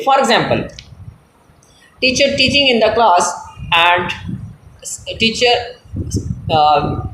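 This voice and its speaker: Slow, hesitant man with a Pakistani accent. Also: high voice, fairly bright tone, reverberant, slight background noise, low-quality recording. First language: Punjabi. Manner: slow, repetitive, hesitant with frequent fillers. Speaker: teacher. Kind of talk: online English lesson.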